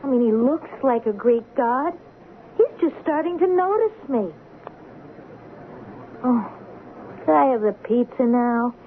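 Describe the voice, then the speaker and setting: A woman playing a wry, sharp-witted teenage daughter in a radio drama, with a wisecracking, dramatic delivery. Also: on the high side, animated, measured pace, long pauses. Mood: dismayed. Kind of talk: radio drama acting with first-person narration. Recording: tinny, noisy old radio broadcast.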